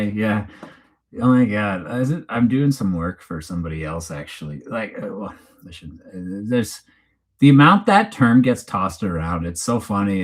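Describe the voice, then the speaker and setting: A man with a Canadian accent hosting a casual, unscripted livestream chat in a rambling, teasing, informal way. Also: fairly low pitch. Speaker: livestream host. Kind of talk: casual unscripted chat, reading live comments.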